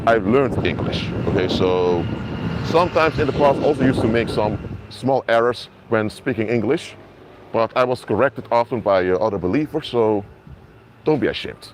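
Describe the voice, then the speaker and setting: A man's voice: lowish voice, moderate pace, background noise. A man whose first languages are Papiamento and Dutch, preaching earnestly to live-stream viewers on a mobile phone outdoors in a city. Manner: earnest, repetitive, addresses viewers directly. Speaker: minister. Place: outdoors in an urban area.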